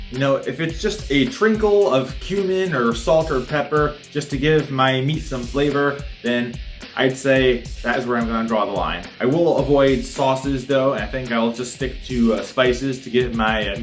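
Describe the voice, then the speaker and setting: Man vlogging his diet, casual. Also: medium pitch. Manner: candid, self-reporting how he feels. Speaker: fitness vlogger. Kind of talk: casual diet vlog narration.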